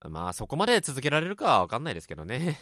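Male actor as gentle, encouraging younger buddy for radio drama; lively intonation, thoughtful, dry recording. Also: medium pitch, very fast, no room sound. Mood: musing. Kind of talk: amateur radio drama dialogue.

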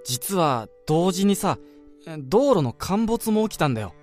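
A man acting an excitable, gossipy office colleague in a Japanese radio drama, informal and easily exasperated. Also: high voice, measured pace, faint music behind. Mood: eerie, explaining. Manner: animated, exasperated, informal storytelling. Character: excitable, gossipy male office colleague relishing an eerie rumour.

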